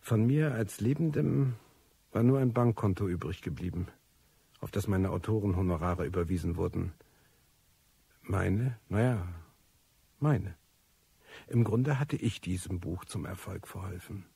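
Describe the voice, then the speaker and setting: An actor playing a disillusioned, cynical recluse who tells his life story in a radio drama, wry and bitter, with a husky, gravelly voice. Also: low-pitched, unhurried, long pauses, mellow, no room sound, full character voice. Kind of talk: radio drama first-person monologue.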